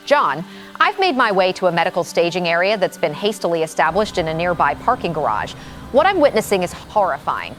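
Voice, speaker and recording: An actress playing a shaken but professional field reporter, delivering a formal, urgent live report in an audio drama. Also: female voice, lowish voice, big pitch swings, fairly quick, a bit bright, soft background music. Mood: grave, urgent. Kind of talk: scripted live news report.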